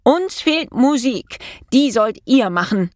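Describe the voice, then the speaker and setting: Storybook mouse, young male, gleeful and exuberant, high-pitched, relishes every chant; played by a woman. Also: lively intonation, unhurried, dry recording, studio quality. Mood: gleeful, commanding. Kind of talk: children's storybook character reading.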